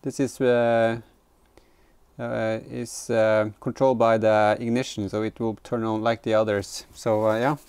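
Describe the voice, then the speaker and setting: A man's voice: lowish voice, little pitch movement, a little slow, darkish tone, clear, resonant tone. A man in his thirties giving casual, hesitant hands-on commentary, thinking aloud as he works in a garage workshop. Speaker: car DIY vlogger. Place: garage workshop and inside the back of a car.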